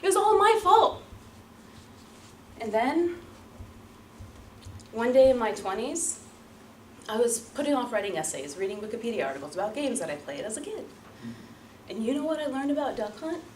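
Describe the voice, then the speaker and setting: High, animated woman storyteller in echoey room. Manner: theatrical, emphatic, with deliberate repetition and pauses. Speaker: public speaker at a speaking club. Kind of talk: rehearsed dramatic storytelling speech to an audience. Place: room before an audience. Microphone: distant microphone. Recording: roomy, distant mic, light background noise.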